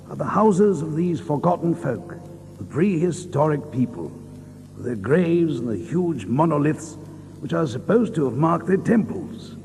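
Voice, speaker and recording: Doctor Watson, reverberant English gentleman. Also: fairly high pitch, slight background noise. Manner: measured, literary, formal phrasing. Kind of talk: dramatized audiobook narration and radio-play acting. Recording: long reverb, music underneath.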